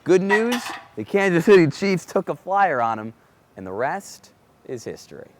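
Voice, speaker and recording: A man's voice: fairly high pitch, sing-song, normal speed, a little hiss or hum. A man working as a sports anchor and reporter, with a playful, emphatic broadcast delivery. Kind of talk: sports broadcast narration and desk banter.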